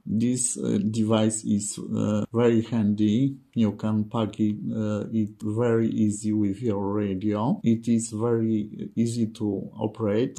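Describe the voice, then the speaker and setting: A man with a non-native English accent giving a halting, hesitant gadget demonstration, often breaking off words. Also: lowish voice, mellow. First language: not English. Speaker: presenter of radio gadget reviews. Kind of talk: product demonstration narration.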